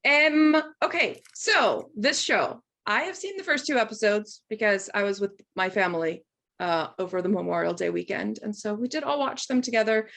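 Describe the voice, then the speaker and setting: A young woman co-hosting a casual reaction chat, chatty and animated, laughing often. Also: medium pitch, moderate pace, vocal fry. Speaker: co-host.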